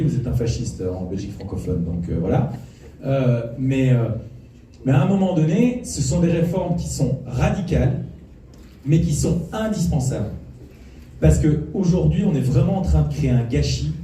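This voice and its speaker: A man's voice: medium pitch, dark tone. A man giving an impassioned political speech, insistently repeating his key points. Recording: echoey hall from far off, faint noise.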